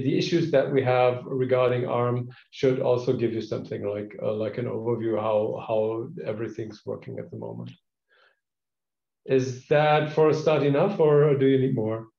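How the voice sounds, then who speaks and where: A man's voice: mid-range voice, normal speed, gravelly, mellow. A man who is not a native English speaker, giving a casual project update on a video call, hesitant with many fillers and restarts. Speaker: project contributor. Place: indoor office, video call. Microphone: video call webcam mic. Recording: roomy, dull video call audio.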